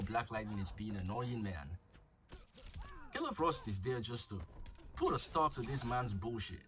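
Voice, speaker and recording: A young man with a flu giving casual, crude commentary over a mobile game. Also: medium pitch. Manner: chatty, crude jokes, thinking aloud. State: slightly sick with flu.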